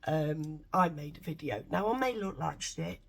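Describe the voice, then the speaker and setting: A woman with a congested, bunged-up nose, rambling excitedly and swearing a lot in a casual vlog from home. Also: low voice, moderate pace, gravelly. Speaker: vlogger.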